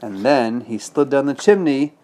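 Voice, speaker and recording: A man's voice: mid-range voice, lively intonation, moderate pace, warm tone. A man reading a bedtime story as a father to his child, patiently explaining in simple words with check-in questions.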